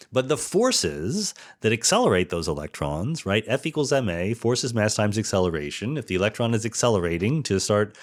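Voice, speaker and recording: A man giving an informal explanatory monologue as a podcast host, posing questions and answering them himself. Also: lowish voice. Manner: explanatory, asks and answers own rhetorical questions. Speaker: podcast host, theoretical physicist.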